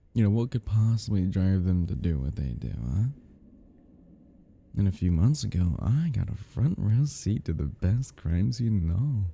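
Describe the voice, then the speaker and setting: A man playing an obsessive, menacing crime scene cleaner in an intimate roleplay audio drama, rambling and teasing with a husky, gravelly voice. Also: low voice, dark tone, full character voice. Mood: fascinated. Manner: rambling, chuckling, teasing, slipping into near-whispers.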